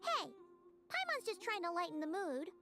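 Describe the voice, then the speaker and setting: A woman's voice: very high-pitched, very animated, normal speed, sharp tone, heavily acted. An actress voicing a small, cheeky female fairy companion who talks about herself in the third person, in game dialogue. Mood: teasing. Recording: game audio with faint music behind.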